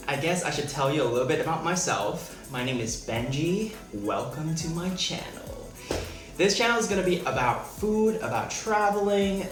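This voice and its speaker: A young man from Hong Kong chatting playfully while he cooks in his small apartment. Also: on the high side. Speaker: vlogger, home cook. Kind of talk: casual cooking vlog chat. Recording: echoey room with music behind.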